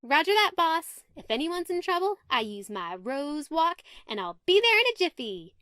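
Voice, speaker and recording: Lady doing a squeaky high little teen huntress, perky n indignant, swoops around, audio drama. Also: big pitch swings, moderate pace, fairly bright tone, dry recording, clean studio sound. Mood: eager. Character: small, feisty teenage huntress girl, easily flustered. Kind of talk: fan audio drama acting.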